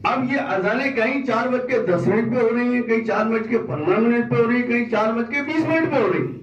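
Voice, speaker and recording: Man, high voice, argumentative public statement, insistent repetition, distant mic, dull muffled sound. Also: animated, fairly quick. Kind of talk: forceful public statement of grievance. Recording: echoey, muffled, distant microphone.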